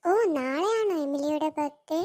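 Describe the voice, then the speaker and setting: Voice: high-pitched, lively intonation, normal speed, a little mellow. A performer voicing a jealous, competitive cartoon mother with a haughty manner in scripted dialogue. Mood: matter-of-fact.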